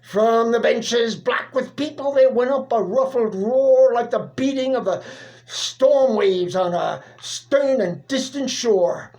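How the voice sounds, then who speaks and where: A person reciting a narrative poem in a theatrical, deliberate manner with dramatic pauses. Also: mid-range voice, darkish tone. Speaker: poetry reader.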